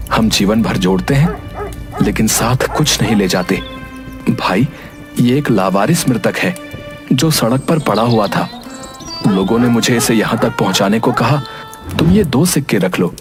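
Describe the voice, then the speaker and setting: A man playing an honest, humble Brahmin bullock-cart driver in a moral-story cartoon, speaking earnestly and deliberately. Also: medium pitch, lively intonation, music in the background, natural voice. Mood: earnest, reflective. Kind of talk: acted Hindi moral-story cartoon dialogue.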